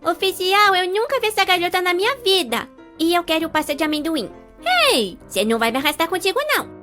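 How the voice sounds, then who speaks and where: A woman's voice: extremely high pitch, fast, pushed and bright, soft background music, pitched above the performer's own voice. A woman voicing a cheeky, sassy little girl with a Brazilian accent in a cartoon story, mouthy and defiant. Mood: defensive. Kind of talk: animated storytime fandub.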